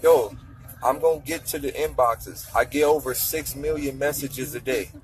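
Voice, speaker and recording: A man's voice: mid-range voice, normal speed, faint music behind, rough recording. Blunt, profane man lecturing on money. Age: 30s. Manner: blunt, profane, lecturing, repeats himself for emphasis. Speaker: vlogger giving money advice. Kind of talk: casual motivational rant to camera.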